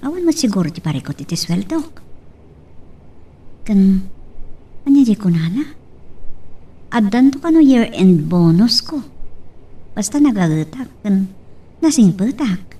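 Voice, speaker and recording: A woman with an Ilocano accent playing an eager, expressive mother working abroad as a maid in an amateur audio drama. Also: medium pitch, measured pace, long pauses, husky. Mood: musing. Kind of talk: amateur Ilocano radio-style drama acting. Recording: distant, off-mic home recording.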